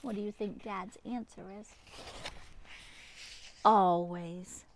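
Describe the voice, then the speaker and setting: A woman reading a picture book aloud to young children in an expressive way, pausing to talk to her listeners. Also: a little high, slight background noise. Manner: animated, pauses to address the young listeners.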